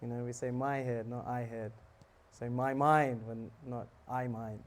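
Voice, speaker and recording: A man giving a relaxed, joking talk on scripture to a live audience, often asking them questions. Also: mid-range voice, unhurried. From South Africa. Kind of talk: casual sermon to a live audience.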